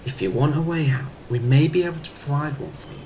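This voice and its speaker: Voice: medium pitch, fast, dark tone, slight background noise, natural voice. An actor playing a tactful, sympathetic activist in a sci-fi audio drama, speaking hesitantly and repeating words.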